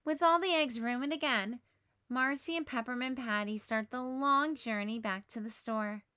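A woman narrating a children's storybook aloud in a measured, expressive way. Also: on the high side, clean tone, no room sound, clean studio sound.

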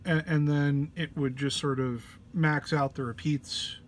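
A man's voice: on the high side, fairly even intonation, unhurried. A man giving an informal gear walk-through as a demonstrator, hesitant and searching for words, sounding under the weather. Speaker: musician demonstrating an effects pedal patch. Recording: clear background noise.

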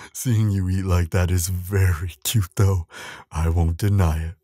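A man playing a huge, gentle giant in an audio roleplay, soft and breathy, speaking in a soothing, doting, unhurried way to the listener. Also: low voice, no echo, studio quality, heavily acted. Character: huge gentle male giant, tender and caring. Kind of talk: audio roleplay acting, softly spoken to the listener.

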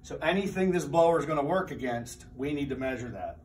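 A man's voice: fairly high pitch, expressive, brisk, a little mellow, a little hiss or hum, poor audio. Man demonstrating a furnace, methodical. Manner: plain, methodical explaining with frequent restarts. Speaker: HVAC trainer. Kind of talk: hands-on technical demonstration. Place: indoor training room with a furnace.

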